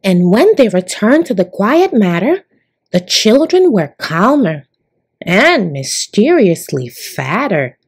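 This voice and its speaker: A woman storyteller reading a picture book aloud to young children in a deliberate, expressive way. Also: mid-range voice, breathy edge. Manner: deliberate, animated, addressing young listeners.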